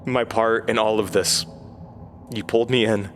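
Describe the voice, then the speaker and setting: A man's voice: on the low side, steady tone, normal speed, slight background noise, natural voice. An actor playing a bewildered, anxious man seeking answers in a horror audio drama, with hesitant, stammering delivery. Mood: shaken, confused.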